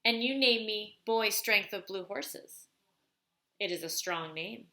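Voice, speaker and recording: Storybook elder played by a woman: wise old grandfather, patient, measured delivery, reassuring, bright tone. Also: mid-range voice. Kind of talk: storybook read aloud.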